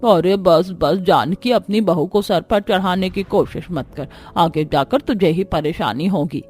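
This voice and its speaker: A woman's voice: fairly low pitch, unhurried, a little mellow, husky. A woman voicing a bossy, grumbling old village grandmother-in-law who commands and scolds, in a cartoon story. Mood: scolding.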